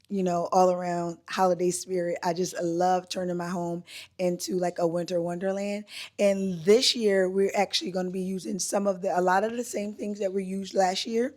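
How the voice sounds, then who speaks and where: A woman vlogging casually from her home, her voice still hoarse from a recent cold, chatty and upbeat with frequent "like" and "um". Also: lowish voice. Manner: chatty, upbeat, says "like" and "um" often. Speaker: lifestyle vlogger. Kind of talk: casual chat to camera. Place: at home, indoors.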